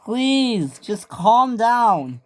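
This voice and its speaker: Woman voicing a measured, sensible train engine. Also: fairly high pitch, big pitch swings, voice pushed up, heavily acted. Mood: soothing. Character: calm, sensible male train engine Steven.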